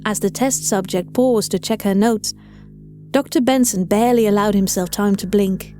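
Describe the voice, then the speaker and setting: Mellow, dark-voiced woman narrating fiction. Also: medium pitch, moderate pace. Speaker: story narrator. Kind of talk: scripted audio fiction narration. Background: music.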